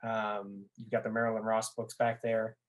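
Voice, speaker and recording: A podcast guest of unclear sex chatting casually as a fan, in an earnest and somewhat halting way. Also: mid-range voice, little pitch movement. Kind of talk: casual chat.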